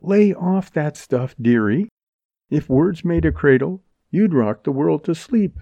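A man's voice: on the high side, expressive, unhurried, creaky, warm tone, dry recording, highs cut off, voice pushed up, full character voice. A man voicing a brassy, defiant young woman who talks in a sneering, sarcastic way in a storybook. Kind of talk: dramatized audiobook dialogue.

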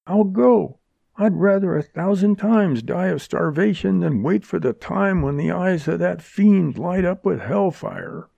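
Guy doing a fiery young woman begging for help, high voice, swoopy, storybook drama. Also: animated, measured pace, gravelly, dark tone, dry recording, lo-fi sound, exaggerated voice. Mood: frantic. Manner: urgent, imploring. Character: desperate, fiery young woman pleading for rescue.

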